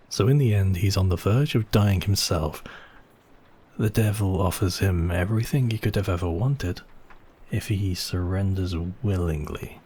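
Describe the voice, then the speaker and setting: A man playing a seductive, possessive vampire count in an ASMR roleplay, speaking slowly and teasingly in a husky, breathy voice with whispered phrases. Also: low voice, warm tone, slight background noise. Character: seductive, possessive male vampire count toying with his captive.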